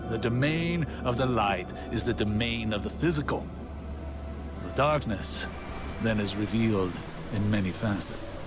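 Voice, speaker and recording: An actor playing a brooding, analytical scholar-warlock in a video game cutscene, lecturing in a deliberate, intellectual way. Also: male voice, on the low side, expressive, music in the background. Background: loud orchestral score.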